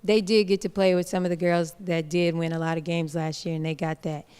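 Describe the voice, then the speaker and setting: Woman in her twenties, soft lowish voice, slightly nervous, coach's informal speech, long hall reverb. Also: little pitch movement, brisk, smooth. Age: 20s. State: a little nervous. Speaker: college basketball coach. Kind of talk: informal remarks at a media day. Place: large indoor hall, at a microphone.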